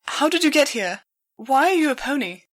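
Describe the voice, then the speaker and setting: A woman's voice: sits high, very animated, moderate pace, a bit bright, pitched above the performer's own voice. A hurt, accusing female pony in an audio story played by a woman. She speaks in a raised, strained voice with an insistent edge, like someone confronting an old friend.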